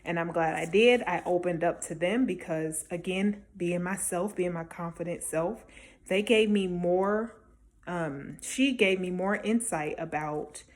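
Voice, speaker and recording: A woman with a slightly low voice gives casual, motivational advice. She speaks in an encouraging, emphatic way, like someone coaching newcomers from her own experience. Her delivery is conversational, with small check-ins to the listener. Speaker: small-business vendor giving advice.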